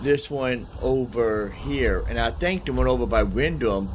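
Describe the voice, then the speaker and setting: A man's voice: mid-range voice, expressive, unhurried, a little hiss or hum, poor audio. A man giving casual gameplay commentary as a streamer, thinking aloud in a rambling way and often repeating himself. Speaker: gaming streamer.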